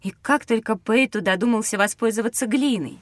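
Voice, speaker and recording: A woman's voice: fairly high pitch, brisk, no echo, natural voice. An actress voicing an inquisitive, wondering young girl in a scripted educational audio drama for children. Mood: admiring, wondering. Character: bright, wondering young girl on a learning adventure.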